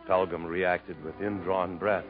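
A man's voice: deep voice, level tone, unhurried. An actor playing a guarded, protective jungle traveler who tells his own story in 1950s radio style. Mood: brooding narration. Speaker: narrator and lead actor in a radio drama. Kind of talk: 1950s American radio acting. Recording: dull vintage radio recording with music.

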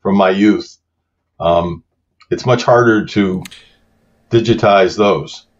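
A man who teaches Hebrew, chatting as a podcast guest and telling stories in an unhurried, explanatory way. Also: sits low, lo-fi sound, a little hiss or hum. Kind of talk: casual podcast conversation.

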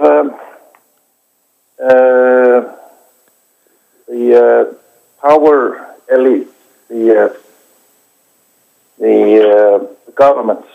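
A man from Iceland, a documentary director answering interview questions in a halting way, with many hesitations and restarts. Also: mid-range voice, creaky. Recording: dull lo-fi recording.

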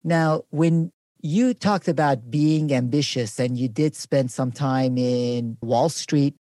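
A man's voice: mid-range voice, slow, mellow, no echo, clean studio sound. A man hosting a podcast interview, asking long, appreciative questions. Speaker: podcast host.

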